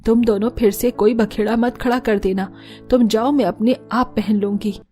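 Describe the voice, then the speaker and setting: A woman voicing a scolding older mother-in-law in a cartoon story, shouting and chiding her daughters-in-law. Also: fairly high pitch, fairly even intonation, normal speed, faint music behind, close to an everyday voice. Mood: weary. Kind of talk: cartoon voice acting.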